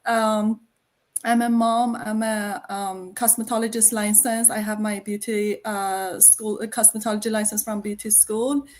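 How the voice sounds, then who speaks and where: A woman's voice: medium pitch, flat delivery, measured pace, a little mellow. A woman from Iran in her thirties or forties, co-hosting a live video call and introducing herself hesitantly. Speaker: co-host. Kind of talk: casual live-stream introduction.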